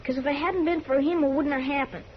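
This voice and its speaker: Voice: sits high, fairly even intonation, fast talker, close to an everyday voice. A performer playing an earnest eight-year-old farm boy with folksy, rustic country talk, in 1950s radio western style. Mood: confessing, upset. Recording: muffled, faintly noisy vintage radio recording.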